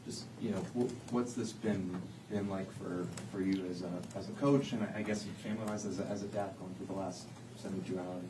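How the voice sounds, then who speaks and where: A man reporter haltingly asking a question at a press conference, far from the mic in an echoey, noisy room. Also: low voice, steady tone.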